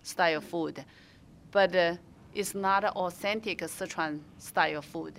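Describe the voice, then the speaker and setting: Slow, halting woman with Mandarin accent. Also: lowish voice, fairly even intonation, creaky, a bit bright, a little hiss or hum. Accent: Mandarin Chinese. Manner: halting, many fillers and restarts. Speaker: restaurant owner. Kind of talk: interview answers.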